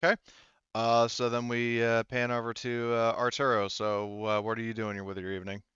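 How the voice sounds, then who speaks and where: A man's voice: mid-range voice, little pitch movement, moderate pace, fairly bright tone, smooth, dry recording, highs cut off. A man narrating a tabletop roleplaying game as game master, speaking casually in improvised, hesitant phrasing.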